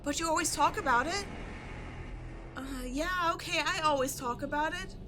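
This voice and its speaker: A woman acting in a roleplay audio drama as a brash young tomboy archaeologist with a soft side, animated, slangy and teasing. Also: high voice, moderate pace, background noise, natural voice. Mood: exasperated.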